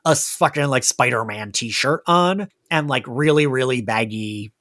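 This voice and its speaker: A man's voice: medium pitch, normal speed, bright, forceful tone, no echo, studio quality. A man hosting a casual pop-culture podcast, talking informally and swearing now and then. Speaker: podcast host.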